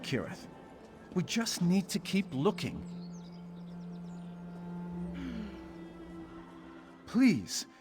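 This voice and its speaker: A man playing a haughty, aggrieved scholar and artificer in a video game, wordy and indignant. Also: sits high. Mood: aggrieved pleading. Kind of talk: video game character dialogue.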